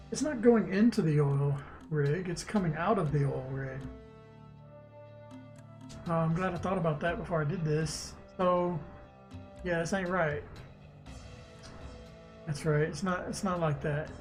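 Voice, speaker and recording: A man streaming as a game developer, casually thinking aloud and muttering haltingly to himself as he works through code. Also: on the high side. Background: music, keyboard typing.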